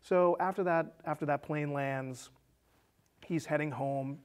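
A man's voice: fairly high pitch, steady tone, measured pace, clean tone. A man in his thirties with an American accent, casually recapping a film as a co-host, often restarting and repeating phrases. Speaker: film discussion show co-host. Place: small indoor studio set with curtain.

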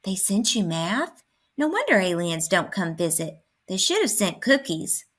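A woman's voice: medium pitch, very animated, measured pace, a bit bright, breathy edge, exaggerated voice. A woman playing a grumpy, dramatic math skeptic who complains and exclaims in a children's storybook. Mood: grumbling.

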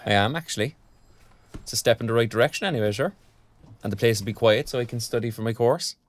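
A man's voice: mid-range voice, quick pace, lightly acted. An actor playing a chatty, put-upon, good-natured younger father in an audio drama, speaking colloquially with an Irish accent. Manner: colloquial, animated.

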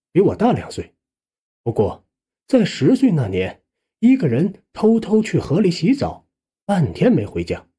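A narrator telling a scripted first-person story in an expressive, colloquial storytelling manner. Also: medium pitch, husky. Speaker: audiobook narrator.

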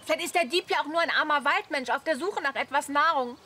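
High-voiced, pert camper woman. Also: fast talker, sharp tone. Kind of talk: German comedy radio play acting.

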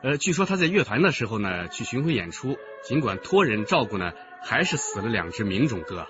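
A man's voice: mid-range voice, very animated, a little fast, highs cut off, close to an everyday voice. An actor playing an affable, talkative male primary school principal in a radio drama. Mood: explanatory. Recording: band-limited radio drama with music behind.

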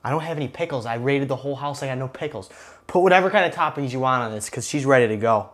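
A man's voice: mid-range voice, quick pace, a bit bright. A man in his thirties hosting a casual cooking demonstration in a home kitchen, joking with the camera as he works. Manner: laid-back, jokey, talks to camera while cooking. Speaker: cooking show host.

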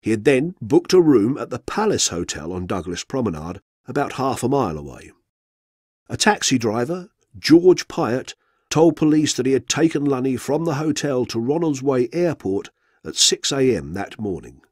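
A man reading a scripted true-crime documentary for radio in a measured, factual manner. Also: medium pitch, very animated, a little mellow, husky, dry recording, studio quality. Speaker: narrator, reader of a true-crime story.

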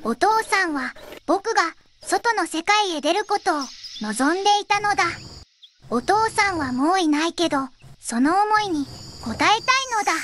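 A woman's voice: extremely high pitch, lively intonation, moderate pace, fairly bright tone, slight background noise. A synthetic female voice playing a small, earnest, lonely but determined boy creature in an audio fairy tale, cutesy and stilted. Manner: cutesy, stilted, ends sentences with a verbal tic.

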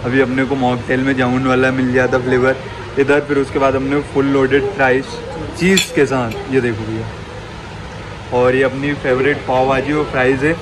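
A man's voice: mid-range voice, little pitch movement, moderate pace. A young man, chatting casually to viewers as he films a food vlog on a phone held at arm's length in a cafe. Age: 20s. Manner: chatty, keeps addressing viewers as bhaiya. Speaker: food vlogger. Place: cafe, indoors and out front on a city street. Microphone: phone at arm's length, selfie. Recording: noisy street and cafe sound.

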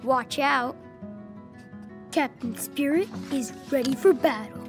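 A young boy puts on a pretend superhero voice, pushing it lower and slower to sound brave, as the actor voicing him plays along. The boast stays childlike, and soft music hums behind him. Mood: mock heroic. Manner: deepened, mock-heroic boast. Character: boy's made-up gruff hero voice.

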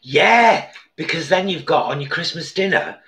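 Older man, lively pitch, bright voice, dry teasing banter, home kitchen webcam. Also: normal speed, slight background noise. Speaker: co-host and cook. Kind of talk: casual live-stream chat.

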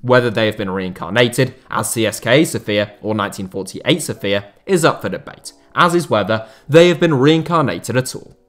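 A man, the host of a channel, reading a scripted documentary narration in a measured, even storytelling manner on a close voice-over mic. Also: mid-range voice, soft background music. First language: not Bulgarian.

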